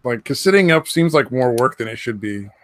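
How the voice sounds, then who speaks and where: A man's voice: mid-range voice, animated, fairly quick, fairly bright tone, slight background noise. Laughing, rambling man on voice chat. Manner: laughs often, rambling and crude. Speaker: podcast co-host. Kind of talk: casual chat.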